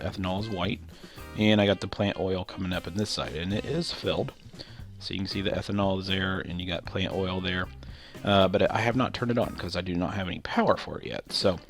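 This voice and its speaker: A man with a stuffy nose from a cold, casually talking through his game with loose, rambling explanations and lots of fillers. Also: deep voice. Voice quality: nasal. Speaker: gameplay commentator. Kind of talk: casual game walkthrough commentary.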